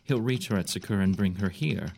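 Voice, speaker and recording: Guy doing a calm general, really deep voice, dry authority, faint noise behind. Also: brisk, vocal fry.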